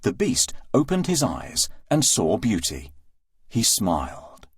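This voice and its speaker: A man reads a children's story with extremely lively, swooping intonation. His medium-pitched voice is a little husky. It is recorded dry, with faint music underneath. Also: slow pace, dry recording. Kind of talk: scripted children's story narration.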